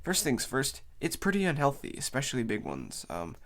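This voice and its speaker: A man hosts an unscripted video in a casual, rambling way. His voice sits at a middling pitch and carries the tiredness of someone with a cold. He explains things loosely, qualifying his points as he goes. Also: wildly swooping pitch, moderate pace, a bit bright. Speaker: YouTube host.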